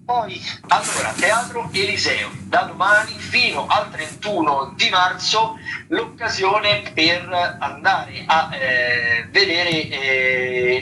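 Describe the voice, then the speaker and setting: A man's voice: on the high side, pushed and bright, slight background noise, low-quality recording. A man hosting a radio show, talking through theatre listings in a loose, semi-improvised way, often hesitating and searching for words. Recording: radio broadcast with music underneath. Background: music.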